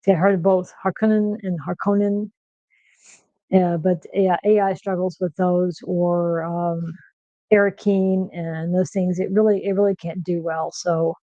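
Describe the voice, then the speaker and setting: A woman with a soft nasal voice chatting casually on a livestream from her kitchen, with lots of fillers and restarts. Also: on the low side, steady tone, unhurried, dry recording, lo-fi sound. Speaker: streamer host. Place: home kitchen.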